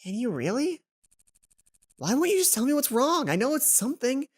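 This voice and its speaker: A woman's voice: high voice, very animated, normal speed, slightly rough, pitched above the performer's own voice, exaggerated voice. A woman voicing a bossy but caring young female roommate in a game, scolding in an emphatic, exasperated way over music. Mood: concerned, pressing. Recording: music behind the voice.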